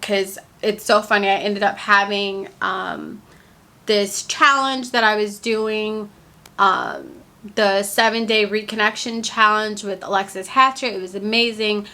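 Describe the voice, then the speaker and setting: A young woman chats straight to her camera on a stand in an easygoing heart-to-heart. Her tone is bright and forward, and she takes her time, with plenty of filler words along the way. A leftover cold leaves her sounding a touch congested. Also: medium pitch, steady tone, unhurried. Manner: chatty, candid, many fillers like "like". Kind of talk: casual heart-to-heart vlog.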